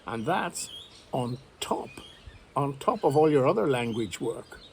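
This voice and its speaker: A man gives an English lesson in a slow, measured way, laying out advice for learners. His pitch sits in the middle, with faint background noise. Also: expressive. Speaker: English teacher. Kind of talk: direct-to-camera lesson.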